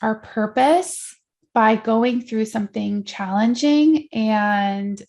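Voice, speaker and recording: A woman's voice: on the high side, slow pace, a little mellow. A woman from the Netherlands, a life coach guest, talking casually and reflectively in an interview.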